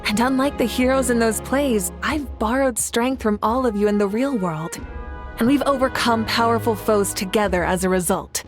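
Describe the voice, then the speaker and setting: A woman's voice: on the high side, normal speed, close to an everyday voice. A woman playing a dignified, resolute leader in a video game, composed and authoritative. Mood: resolute, solemn. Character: dignified, resolute adult female leader and heroine. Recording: music playing behind.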